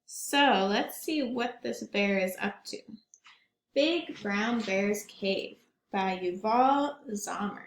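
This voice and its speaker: A woman teacher reading a picture book aloud to children in a gentle, slow way, stopping to ask them questions. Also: mid-range voice, slight background noise. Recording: roomy echo.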